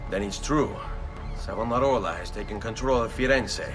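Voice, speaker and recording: An actor playing a calm, resolute young Italian nobleman turned assassin in a video game, speaking with an Italian accent. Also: on the low side, normal speed, recorded from a distance, over music, natural voice. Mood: measured, businesslike. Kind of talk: video game cutscene acting.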